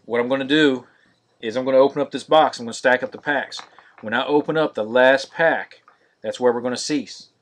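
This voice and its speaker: A man hosting a live-streamed card break, naming each card in a steady, monotonous voice. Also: mid-range voice, a little fast, slight background noise. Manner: monotonous, steady listing of cards.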